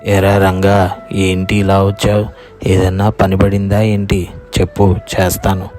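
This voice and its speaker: A man voicing a grasping old village landowner who speaks deliberately and admonishingly in a moral story cartoon. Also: deep voice, monotone, darkish tone, soft background music. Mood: demanding.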